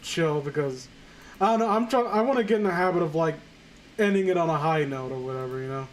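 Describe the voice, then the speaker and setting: A man reading fan fiction aloud casually, breaking off with sarcastic, halting, sweary commentary. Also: a little high, slight background noise, rough recording.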